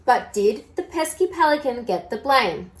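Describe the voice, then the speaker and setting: A woman storyteller reading a children's picture book aloud in an expressive style. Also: fairly high pitch, moderate pace.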